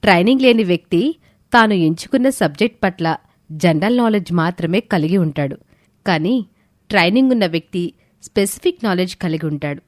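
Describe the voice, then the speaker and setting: A woman's voice: on the low side, lively intonation, fairly quick, darkish tone, dry recording, studio quality, lightly acted. A woman playing a caring, encouraging teacher who explains things patiently and firmly in an audio story. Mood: instructive. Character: Bharathi, caring, encouraging female teacher and mentor. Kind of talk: scripted audio story dialogue.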